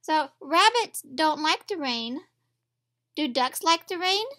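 Female primary teacher for picture-book read-alouds; high, bright voice, unhurried delivery, speaks straight to the kids. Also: animated. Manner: instructive, addressing children directly with questions. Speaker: teacher of young children. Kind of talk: read-aloud storybook lesson for young children. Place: indoors at a table, reading from a picture book.

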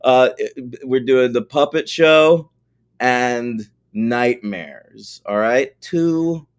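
A man in his forties co-hosts a film podcast in loose, rambling chat. His voice sits at a middle pitch, and he is wry, with casual swearing. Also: extremely slow, clear, resonant tone. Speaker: podcast co-host.

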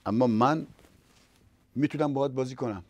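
A man voicing a curious, sporty little girl in a children's story, in a bright, lively way. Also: medium pitch, no echo, pitched above the performer's own voice, close to an everyday voice.

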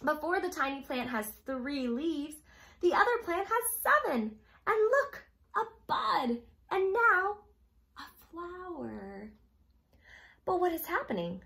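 High-pitched, animated young woman reading a storybook. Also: takes it slowly, gravelly. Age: young adult. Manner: expressive, addressing young listeners as friends. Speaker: storytime host reading to children. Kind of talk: animated children's picture book read-aloud. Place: small room at home, indoors.

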